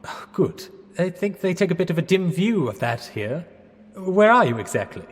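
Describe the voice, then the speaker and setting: Hapless young Englishman, swooping animated voice. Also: high-pitched, normal speed. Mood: flustered. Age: young adult. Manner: hesitant, literal-minded, politely dithering. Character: hapless, apologetic young male science student. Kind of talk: 1920s-set radio drama acting. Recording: long echoing reverb with music underneath.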